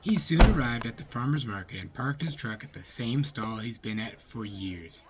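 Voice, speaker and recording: Animated male storyteller, slightly muffled. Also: medium pitch, normal speed, very noisy. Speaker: podcast host and storyteller. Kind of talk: scripted story narration with casual asides. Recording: slightly muffled, music behind. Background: music.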